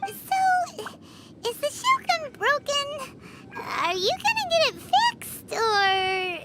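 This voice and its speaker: Small excitable fairy companion for a video game: extremely high, childlike, expressive, lively melody of speech. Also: female voice, slow, a little hiss or hum, heavily acted. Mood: curious, excited. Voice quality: squeaky, childlike. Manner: expressive, refers to herself by name. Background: music.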